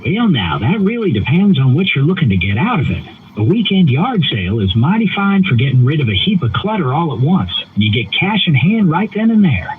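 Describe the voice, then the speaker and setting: Male AI assistant, Southern US drawl, very lively intonation, tinny phone-like playback. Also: a little high, fairly quick, few pauses, background noise. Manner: homespun drawl, folksy sayings. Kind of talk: folksy conversational replies.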